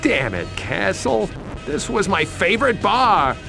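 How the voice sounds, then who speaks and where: An exasperated, pressured guy in a video game. His fairly high voice moves around a lot and has some creak. He grumbles wryly over background music. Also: sing-song, a little slow, heavily acted. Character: harried, exasperated man fretting under pressure.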